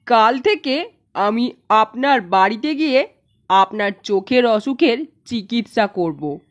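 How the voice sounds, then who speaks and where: A woman's voice: on the high side, animated, slow pace, voice pushed down. A woman putting on a greedy scheming doctor. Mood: smooth. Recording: dull lo-fi narration track.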